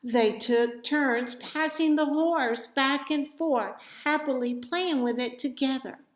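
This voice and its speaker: A woman reading a children's storybook aloud as expressive narration, with dramatic, deliberate phrasing. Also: sits high. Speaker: storybook reader. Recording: echoey room.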